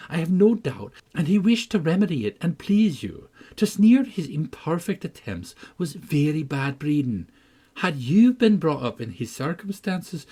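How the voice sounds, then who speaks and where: A man's voice: on the high side, mellow, husky. A man voicing an earnest, motherly housekeeper, reproachful and moralizing, in a storybook reading. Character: earnest, motherly housekeeper scolding her young mistress.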